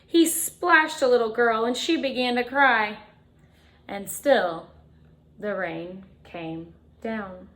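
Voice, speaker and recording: A woman reading a picture book aloud to children like a teacher, expressively, with dramatic emphasis and chatty asides. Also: a little high. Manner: expressive, dramatic emphasis, drawn-out refrains, chatty questions to young listeners. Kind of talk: animated children's storytime read-aloud with asides to listeners.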